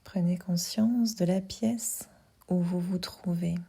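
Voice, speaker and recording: Slow, soft, breathy woman guiding meditation. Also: on the low side. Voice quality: soft, breathy, half-whispered. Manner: calm, soothing, unhurried instructions with pauses. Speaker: yoga nidra guide. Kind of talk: guided sleep meditation.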